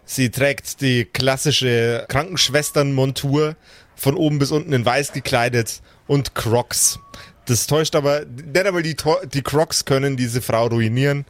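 A man's voice: mid-range voice, measured pace. A man narrating improvised scenes casually as game master, describing them in colloquial words.

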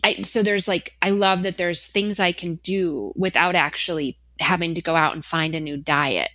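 A woman's voice: fairly low pitch, fairly even intonation, normal speed, bright, forceful tone, dry recording. A woman guest on a podcast, telling her story in a chatty, filler-heavy way. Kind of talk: casual interview chat.

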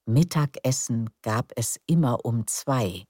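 A woman narrating a calm bedtime story in a slow, soft, soothing way. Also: extremely low pitch, no echo, studio quality.

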